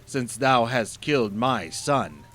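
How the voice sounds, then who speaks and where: A man voicing a huge, ancient, vengeful male genie, booming and menacing in archaic speech, with faint music and rain behind. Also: expressive, slow pace, a bit bright.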